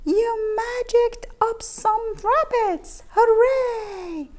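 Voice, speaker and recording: A woman voicing a gang of excitable, teasing storybook cats who squeal and chant. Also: very high-pitched, very slow, voice pushed up, full character voice. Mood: amazed, excited.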